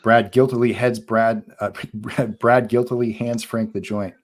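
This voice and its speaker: A man's voice: medium pitch, level tone, measured pace. A man reading scene directions as the narrator of a live script table read.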